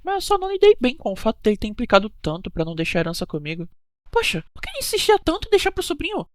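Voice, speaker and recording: A woman playing a touchy, evasive cruise hostess in an animated mystery, bickering and chatty, with a Brazilian accent. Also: a little high, racing, no echo, studio quality. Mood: defensive. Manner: defensive, gossipy, bickering. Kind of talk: animated murder-mystery dialogue.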